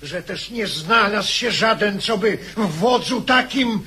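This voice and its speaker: Gravelly, mocking rebel soldier. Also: male voice, sits high, lively intonation, a little slow, pushed and bright, full character voice. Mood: contemptuous. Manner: sarcastic, biting. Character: mocking, contemptuous mutinous soldier. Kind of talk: radio drama acting, archaic speech.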